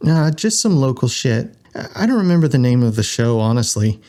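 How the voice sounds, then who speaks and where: A man's voice: mid-range voice, very animated, fairly quick, dark tone, close to an everyday voice. A man voicing a breezy, evasive female scientist, a furry animal character in an audio story. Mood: playful, casual.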